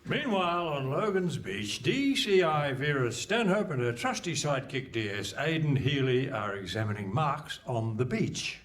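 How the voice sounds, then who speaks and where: A man's voice: mid-range voice, very animated, moderate pace, few pauses, a bit bright. A man narrating a comic radio play in a theatrical, scripted style before a live microphone. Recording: live hall stage, distant mic, faint noise.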